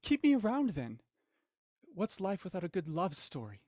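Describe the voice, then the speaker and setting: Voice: mid-range voice, huge pitch swings, normal speed, darkish tone, dry recording, studio quality. An actor playing a desperate, possessive writer in an audio drama, with a theatrical, pleading way of talking.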